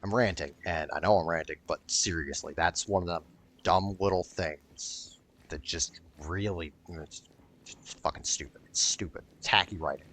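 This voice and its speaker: A man's voice: low voice, normal speed, fairly bright tone. A man giving casual, rambling gameplay commentary in a blunt, sweary way. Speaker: gaming video creator.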